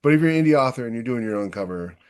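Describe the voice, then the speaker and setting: A man's voice: mid-range voice, expressive, rapid-fire, a little mellow, smooth. A man hosting a casual podcast chat, giving opinionated, emphatic advice as an author.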